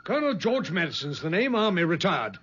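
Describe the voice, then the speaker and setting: An actor playing a gruff, trigger-happy retired army colonel who talks in a blustering, commanding way in a 1970s radio drama. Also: male voice, high voice, very animated, brisk, fairly bright tone, heavily acted. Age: older. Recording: muffled vintage radio with faint hiss.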